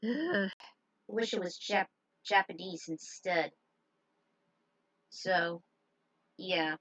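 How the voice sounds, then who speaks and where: A woman's voice: fairly low pitch, extremely slow, fairly bright tone, dry recording, distant mic, dull audio. A woman reading story narration, with casual, grumbling asides. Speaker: narrator reading own fan fiction over game cutscenes.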